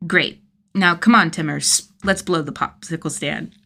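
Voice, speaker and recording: A woman's voice: low-pitched, measured pace, creaky, sharp tone, heavily acted. A woman voicing a sardonic young man, a vigilante who talks in casual, teasing slang in a story reading. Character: gruff, sardonic young man vigilante, wryly fond of kids.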